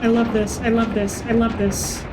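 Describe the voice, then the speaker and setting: A woman's voice: on the high side, flat delivery, normal speed, heavy background noise. A woman streaming a game with casual, playful, sweary chat, often talking to herself. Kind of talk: casual livestream gaming chat.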